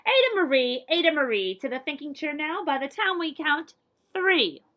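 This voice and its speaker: A woman voicing exasperated storybook parents scolding their child with loud, emphatic commands. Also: sits high, sing-song, normal speed, voice pushed up.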